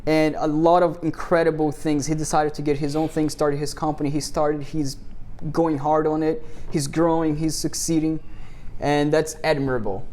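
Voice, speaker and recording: A man's voice: fairly high pitch, little pitch movement, moderate pace, darkish tone. A man hosting a podcast, talking casually and encouragingly with his guest. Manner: encouraging, upbeat, laughs often. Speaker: podcast host, business owner.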